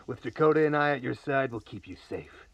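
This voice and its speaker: An actor playing a bold, swaggering hero with hearty, melodramatic bravado in a radio adventure serial. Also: male voice, mid-range voice, expressive, normal speed, gravelly, dry recording, distant mic, lo-fi sound.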